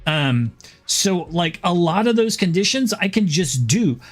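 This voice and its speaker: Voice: medium pitch, sing-song, measured pace, soft background music. A game streamer chatting casually about a build, laid-back and often laughing. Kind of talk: casual gameplay chat.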